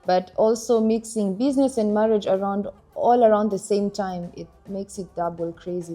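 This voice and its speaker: A young woman from Kenya, chatting casually about her marriage, animated and laughing now and then. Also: mid-range voice. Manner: animated, laughs while telling stories. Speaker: interviewee, wife in a couple. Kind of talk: casual conversational storytelling.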